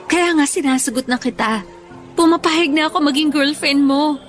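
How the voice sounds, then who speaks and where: Tender, high-voiced young woman, played by an actress. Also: normal speed, faint music behind, natural voice. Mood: grateful, tender. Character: tender young woman teacher, tired devoted caregiver daughter. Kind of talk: Tagalog radio drama acting.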